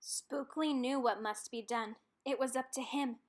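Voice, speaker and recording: High pitched lady doing a kids picture book read aloud, expressive, really punches the rhyming words. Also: measured pace. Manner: expressive, dramatic emphasis on rhymes and key words. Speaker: storybook reader.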